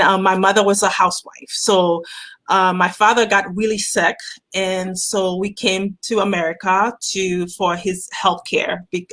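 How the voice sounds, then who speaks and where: Middle aged woman from haiti, normal pitch, guest chatting casually bout her life, lots of agreeing along. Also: fairly bright tone. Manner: affirming listener, frequent backchannels. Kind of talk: casual conversation, personal storytelling.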